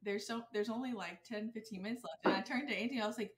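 A woman in a casual chat, recounting things quickly and excitedly. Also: a little high, heavy background noise.

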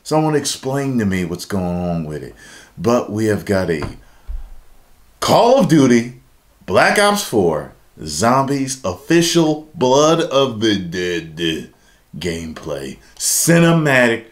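A man's voice: medium pitch, very animated, takes it slowly. A man in his thirties giving casual reaction commentary on a game trailer as host, recorded indoors at home. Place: small indoor room at home.

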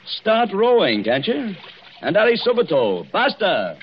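An actor playing a protective, impatient young husband with a commanding, urgent manner, in 1940s radio style. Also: male voice, high-pitched, wildly swooping pitch, takes it slowly, full character voice. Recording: noisy, muffled old radio broadcast.

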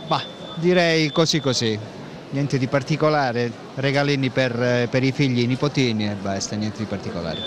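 A middle-aged man gives casual interview answers, searching for his words. His voice is medium in pitch. It is caught on a handheld mic amid clear shopping mall noise. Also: moderate pace. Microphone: interviewer's handheld microphone. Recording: noisy shopping mall ambience.

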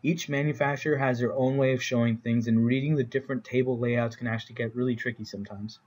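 A man's voice: medium pitch, steady tone, quick pace, a little mellow, poor audio. An American man giving an explanatory slide presentation as an instructor, in plain, informal phrasing. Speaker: instructor presenting training for code officials. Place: voice-over recorded for a slide presentation.

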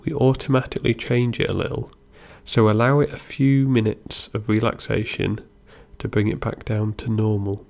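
A man gently guiding a meditation as an instructor, in an unhurried way with pauses between instructions. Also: on the low side, fairly even intonation, vocal fry. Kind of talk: guided body scan meditation narration.

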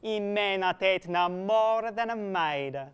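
A man reading aloud, voicing a lovesick, fawning young parish clerk with slow, drawn-out sweet talk. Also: high-pitched, clean tone. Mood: wooing.

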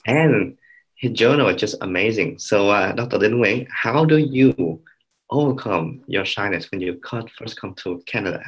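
A man in his thirties hosting a live video call from an office, upbeat and animated. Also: lowish voice, moderate pace, breathy edge, low-quality recording. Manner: upbeat, animated, waves hands. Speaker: host. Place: office indoors. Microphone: laptop webcam mic on video call.

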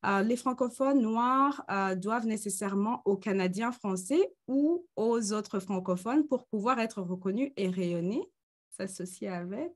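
A woman's voice: medium pitch, a little slow. A woman in her thirties moderating an online conference discussion, hesitating often with fillers, speaking into a webcam on a video call. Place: indoors in front of a green screen.

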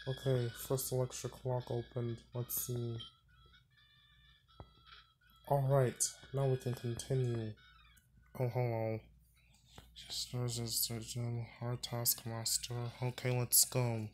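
A man's voice: mid-range voice, completely flat delivery, measured pace, a little mellow, a little hiss or hum. A man giving casual let's-play commentary, thinking aloud, muttering and sighing as he plays. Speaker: gaming channel host. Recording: faint game music behind.

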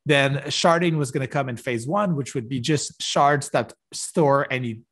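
A man giving a technical keynote over a video call, halting with many fillers and restarts. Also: medium pitch, a bit bright. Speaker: conference keynote speaker. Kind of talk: technical conference talk. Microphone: video call webcam.

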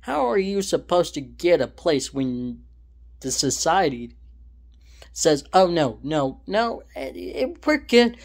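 A man's voice: on the high side, sing-song, slow, creaky. A young man from California giving his opinions in a casual, rambling monologue, often stopping to correct himself, filmed on a phone held at arm's length in a small room at home. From California, USA. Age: young adult. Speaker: vlogger. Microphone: phone held at arm's length, selfie framing.

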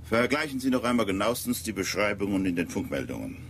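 An actor playing an authoritative, deliberate ship's captain in a German radio drama, with hesitant pauses. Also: male voice, on the low side, big pitch swings, fairly bright tone, slight background noise. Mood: firm, cautious. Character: gruff, measured male British ship's captain.

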